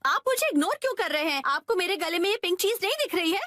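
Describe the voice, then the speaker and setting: A woman voicing an excitable, touchy young cartoon mother, melodramatic and pouty, with music behind her. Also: very high-pitched, quick pace, no echo, studio quality. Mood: hurt, indignant.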